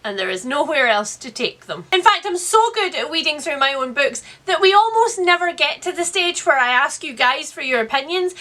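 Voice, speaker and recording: A woman's voice: high-pitched, sharp tone. A woman hosting a book vlog, chatting directly to viewers in a wry, sarcastic, playfully exaggerated way. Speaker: book reviewer, channel host.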